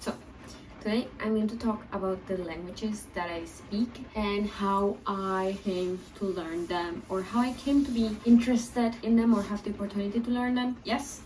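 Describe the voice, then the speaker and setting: A young woman from the talks informally to camera in a medium-pitched voice, wandering through asides. She is recorded indoors at a slight distance from the mic, with clear storm noise behind her. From Basque Country, Spain. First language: Basque. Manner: informal, rambling, frequent "like" asides. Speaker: vlogger. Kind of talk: casual chat to camera. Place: indoors at home, under a skylight. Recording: distant mic, clearly noisy room, rainstorm. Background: storm rain noise.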